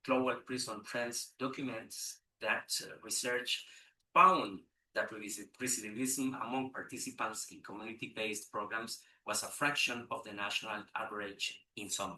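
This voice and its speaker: A man speaks at a conference in a formal, measured way, stumbling now and then over a word. The mic sits some way off. The large room gives his voice a noticeable echo. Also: medium pitch, bright, forceful tone. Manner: measured, occasional hesitant restarts. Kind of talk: formal prepared speech. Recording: echoey room, distant mic.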